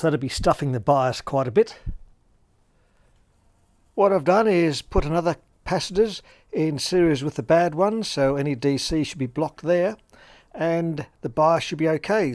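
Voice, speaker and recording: A man's voice: fairly high pitch, expressive, normal speed, darkish tone, no echo. A man giving a casual workshop demonstration, thinking aloud with some hesitation. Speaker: hobbyist radio repairer and presenter.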